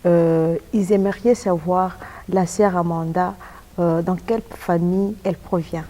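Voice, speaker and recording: A woman's voice: lowish voice, fairly even intonation, measured pace, warm tone. A woman, speaking as a courteous, formal TV host in a religious interview.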